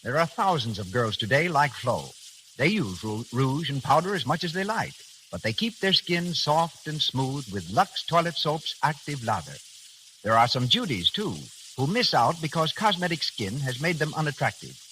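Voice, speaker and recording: A man's voice: on the high side, sing-song, normal speed, bright, forceful tone. A man with an American accent doing scripted announcing and sales copy as a 1930s radio announcer. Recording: hissy, crackly 1930s radio recording.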